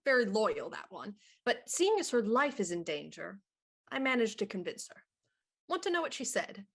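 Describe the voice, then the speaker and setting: A woman's voice: mid-range voice, big pitch swings, normal speed, vocal fry, a bit bright, husky, full character voice. A woman playing a shrewd, probing police inspector in a radio murder mystery, pointed and theatrical. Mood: coolly probing.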